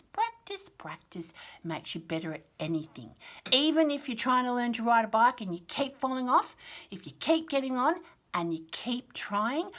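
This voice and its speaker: A woman hosts a read-aloud lesson for very young children. Her delivery is sing-song and highly varied in pitch, with exaggerated enunciation. She is encouraging and slips into chatty asides as she explains things. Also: a bit bright. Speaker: children's storyteller and host.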